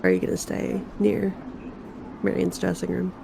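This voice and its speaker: Woman, noticeable vocal fry, casual tabletop roleplay, player, light noise behind. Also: lowish voice, sing-song, normal speed.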